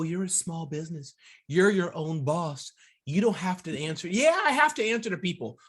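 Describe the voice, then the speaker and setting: A man's voice: high-pitched, a bit bright. A man hosting a podcast interview in an enthusiastic, jokey and wordy way, with upbeat intros and chatty questions.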